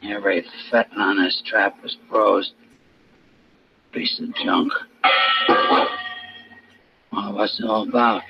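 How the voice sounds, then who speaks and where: A man's voice: on the low side, steady tone, slow, creaky, pauses often, over loud music. A man playing a surly, defensive American ex-convict mechanic with grumbling, slangy speech in a 1950s radio drama. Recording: dull, noisy old radio recording.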